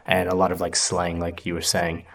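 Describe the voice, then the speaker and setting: A man's voice: low voice, completely flat delivery, moderate pace, vocal fry, a little hiss or hum. A young man from the United States, chatting in a laid-back, hesitant way as a podcast guest. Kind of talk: casual podcast chat.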